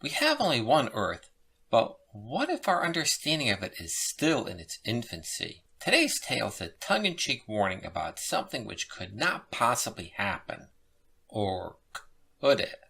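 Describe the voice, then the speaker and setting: Very low-pitched woman with animated delivery. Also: normal speed, creaky, slightly rough. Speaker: host and narrator of a short story podcast. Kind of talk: scripted narration and writing tips.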